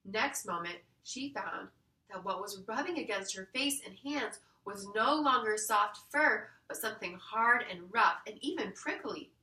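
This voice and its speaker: Bright-voiced woman reading a story, echoey room. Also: mid-range voice, animated, a little slow. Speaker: read-aloud storyteller. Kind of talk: expressive scripted storybook narration. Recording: roomy echo, distant mic.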